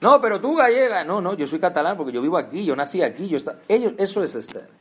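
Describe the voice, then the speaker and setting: Male preacher, fairly high voice, chatty sermon with lots of questions to the listeners. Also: expressive, quick pace, fairly bright tone. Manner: conversational, addresses listeners directly with rhetorical questions.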